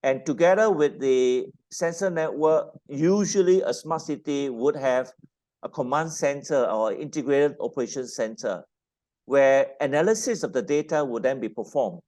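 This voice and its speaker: A man moderating a conference panel, speaking formally with occasional filler pauses. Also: mid-range voice, mellow.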